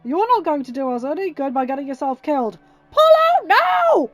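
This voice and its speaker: A woman's voice: sits high, very animated, moderate pace, soft background music, voice pushed up. A woman voicing an urgent, commanding male pilot and father who gives orders, in a fan fiction reading. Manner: instructing, shouted orders over battle.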